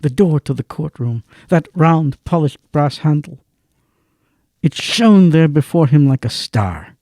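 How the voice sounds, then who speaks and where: An actor as a solemn storyteller, giving dramatic literary narration in an audio drama with deliberate phrasing. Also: male voice, fairly high pitch, lively intonation, mellow, no room sound, clean studio sound. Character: solemn male storyteller of a literary radio play.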